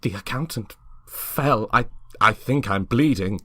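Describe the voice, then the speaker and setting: A man voicing an injured, dazed male sculptor who speaks feebly in halting, broken phrases. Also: lowish voice, a bit bright, voice pushed up. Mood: pained, pleading.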